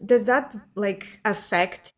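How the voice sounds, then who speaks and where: A woman's voice: mid-range voice, slow pace. A woman podcast host with a slight Russian accent, asking curious, hesitant questions in a casual interview.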